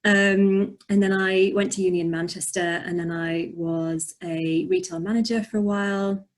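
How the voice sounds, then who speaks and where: A young woman with a slight Yorkshire accent, speaking softly and reflectively with frequent ums, answering questions over a video call. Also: mid-range voice, fairly even intonation, moderate pace. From north of England. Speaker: poet and author. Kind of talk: conversational interview answers.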